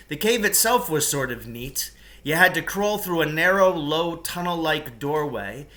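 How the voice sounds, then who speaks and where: A man reading a novel aloud in an expressive, carefully enunciated storytelling manner. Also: medium pitch.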